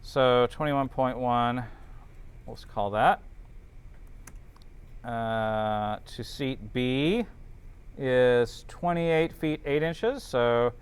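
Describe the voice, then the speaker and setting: Male instructor, smooth and clean tone, mid pitch, informal working-it-out delivery, talks to students while calculating. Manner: thinks aloud while working, checks in with students. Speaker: teacher. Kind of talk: informal classroom lecture working through calculations.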